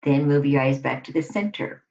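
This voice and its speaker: A woman giving a scripted guided relaxation exercise, instructing deliberately in short phrases with pauses between them. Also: extremely low pitch, a little mellow. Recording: dull lo-fi recording.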